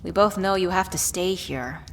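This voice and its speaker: A woman's voice: lowish voice, normal speed, natural voice. A woman playing a worried, affectionate witch who tenderly scolds a beloved partner in an ASMR roleplay audio drama. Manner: playfully exasperated, tender scolding with sighs. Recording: clear background ambient noise.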